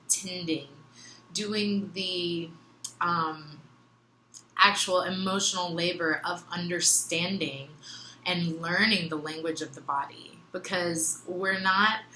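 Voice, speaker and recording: A young woman with a bright, forward tone talks through her thoughts in a relaxed, earnest ramble, full of fillers and small laughs. English is her second language. The room around her rings noticeably, with a faint ambient hiss underneath. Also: lowish voice, roomy sound, low-quality recording. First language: other than English. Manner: meandering, earnest, laughs often, many fillers. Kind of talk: reflective casual monologue.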